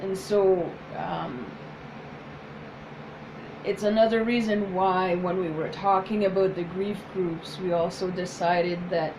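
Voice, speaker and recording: A woman whose first language is French, speaking as a psychotherapist in a soft, hesitant casual chat with many pauses. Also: lowish voice, steady tone, background noise. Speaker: psychotherapist, co-host.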